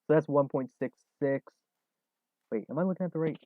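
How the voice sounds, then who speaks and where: A man's voice: fairly high pitch, a little fast, no echo, narrow, tinny audio. A man in his early twenties hosting a casual trading livestream, answering viewer questions with frequent chuckles and fillers. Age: 20s. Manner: relaxed, chuckles often, many fillers. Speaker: stock trading livestream host.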